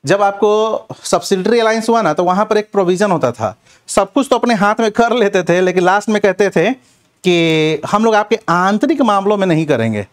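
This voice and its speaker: A man's voice: high-pitched, moderate pace. A man giving a question-and-answer revision lecture as an exam coaching teacher, instructive and often repeating check phrases.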